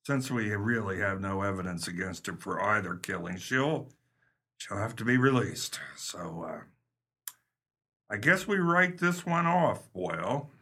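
A man's voice: on the low side, expressive, measured pace, pushed and bright, heavily acted. A man playing a weary, pragmatic police captain in a 1930s pulp crime radio play. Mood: resigned.

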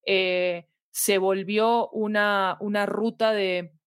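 Latam spanish woman, mid pitch, chatty podcast guest thinking out loud, no room sound. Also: fairly even intonation, slow pace, clean tone, dry recording, clean studio sound. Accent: Latin American Spanish. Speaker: interview guest, audio fiction expert. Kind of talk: casual conversational interview.

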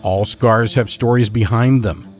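A man's voice: medium pitch, steady tone, unhurried. A man reading a scripted introduction as the host of a horror fiction podcast. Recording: music bed under the voice. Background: music.

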